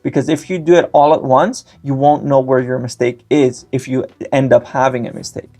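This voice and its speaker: A man's voice: medium pitch, little pitch movement, moderate pace, warm tone. A young man whose first language is Greek, giving a step-by-step screen-recorded tech tutorial with frequent filler sounds. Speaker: tutorial host.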